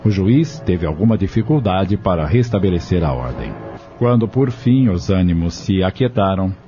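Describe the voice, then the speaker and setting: A man's voice: deep voice, lively intonation, normal speed, dark tone. A man reading scripted narration for an audio novel. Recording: dull lo-fi audio with music bed. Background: music.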